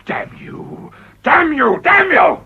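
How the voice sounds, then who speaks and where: A man's voice: very high voice, big pitch swings, takes it slowly, gravelly, exaggerated voice. An actor playing a ruthless, desperate middle-aged businessman in a radio drama, with a British accent and a blustering, wheedling delivery. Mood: bitter fury. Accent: British English. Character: ruthless, desperate, smooth-talking male businessman and political climber. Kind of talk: radio play acting. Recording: dull, muffled old radio recording.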